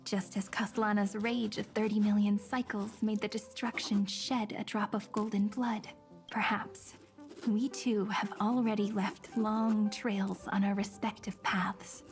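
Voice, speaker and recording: A gentle young female sage from a fantasy video game, voiced by a woman, middle in pitch. She speaks softly and poetically with a playful streak, over faint music. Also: little pitch movement, measured pace, natural voice. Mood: hopeful tender. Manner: soft, breathy, teasing, storytelling. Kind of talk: video game story dialogue. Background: soft game music.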